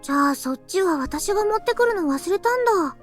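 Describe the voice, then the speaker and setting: Very high girlish little sister. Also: animated, rapid-fire, faint music behind. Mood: defensive. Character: cheerful, childlike younger sister, girlish and eager. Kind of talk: Japanese visual novel voice acting.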